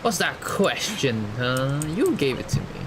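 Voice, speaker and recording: A man's voice: medium pitch, sing-song, measured pace, poor audio. A man giving casual, chatty, slangy live commentary as a game streamer, in a laid-back way. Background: game music, typing.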